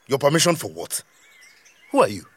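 A man's voice: medium pitch, big pitch swings, fairly quick, slightly rough. A man with a Nigerian accent acting a stubborn, mocking village community leader in an audio drama, arguing with rhetorical questions. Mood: irritated.

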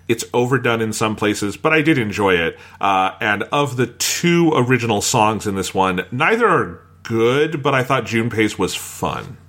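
A man's voice: on the low side, expressive, normal speed, hardly any pauses, a bit bright. A man hosting a casual critical discussion, giving firm opinions and steering the talk along. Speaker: podcast host and reviewer.

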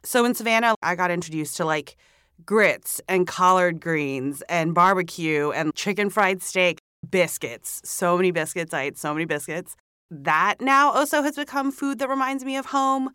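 Smooth low-voiced woman, chatty. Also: moderate pace, no room sound, clean studio sound. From Hong Kong. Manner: relaxed, chatty, many "like" fillers. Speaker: podcast guest. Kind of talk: casual interview chat. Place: recording studio.

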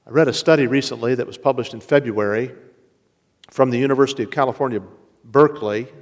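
A man preaching a sermon as pastor. He speaks earnestly and slows down to weigh his key words. His mid-pitched voice rings out in a large hall with a long reverb. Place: church sanctuary.

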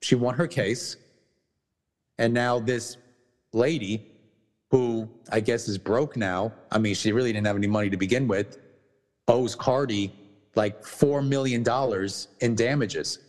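A man co-hosting a casual podcast, talking bluntly with many restarts and swearing, his voice going hoarse. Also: mid-range voice, fairly even intonation, unhurried, darkish tone, in a large hall. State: losing his voice. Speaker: podcast co-host.

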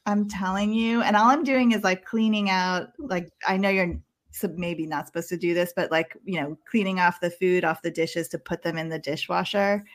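Woman, lowish register, informal and chatty, self-deprecating, casual conversational delivery. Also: fairly even intonation, moderate pace. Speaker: dance studio helper in conversation. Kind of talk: casual chat.

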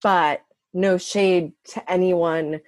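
A woman comedian with a dry voice, chatting casually with halting fillers, heard over a video call. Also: lowish voice, steady tone, a little mellow, no echo. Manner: laid-back, halting with fillers. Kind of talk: casual chat.

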